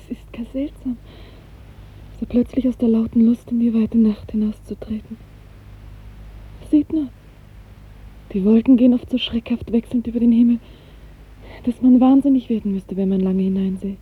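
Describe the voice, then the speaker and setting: A young maiden in a 1950s radio play, lovesick and melancholy. Her voice is light and highish, very breathy and often sinking to a whisper. She speaks in a lyrical, wistful way, on a narrow, muffled old recording. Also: fairly even intonation, moderate pace, long pauses. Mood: hushed, shy. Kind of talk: 1950s German radio drama acting.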